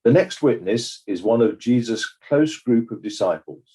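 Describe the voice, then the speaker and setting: A man playing a formal, probing examiner who puts questions in a measured, official way in a dramatized inquest. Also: mid-range voice, animated, warm tone, lightly acted.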